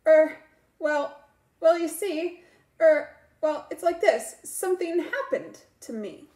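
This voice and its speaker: A flustered schoolboy stammers out his excuses in a high voice that takes its time, as a woman reading aloud puts him on. Everything rings in an echoey room. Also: expressive, slow pace, darkish tone. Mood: earnest. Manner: stammering, earnest.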